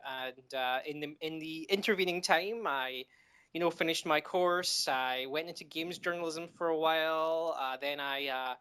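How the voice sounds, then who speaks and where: A middle-aged man from Scotland with a Scottish accent, answering interview questions with frequent pauses, fillers and restarts. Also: a little high, sharp tone, smooth. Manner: hesitant, many ums and restarts. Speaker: game developer guest.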